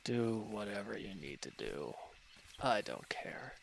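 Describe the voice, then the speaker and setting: A man playing a wounded, irritable villain in an audio roleplay, gruff and curt but grudgingly caring, with an exasperated, grumbling delivery. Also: lowish voice, very animated, unhurried, a little hiss or hum, full character voice. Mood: pained, grumbling. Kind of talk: scripted audio roleplay acting.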